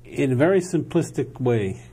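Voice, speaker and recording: A man's voice: medium pitch, expressive, normal speed, warm tone, slight background noise. A male talk show host asking short, direct questions in a television studio interview.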